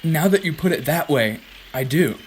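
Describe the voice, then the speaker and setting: A man's voice: fairly high pitch, animated, moderate pace, lightly acted. An actor playing a florid, lovelorn French ladies' man in his fifties, with a French accent, in a radio play. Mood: wistful. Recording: faint background noise and ambience.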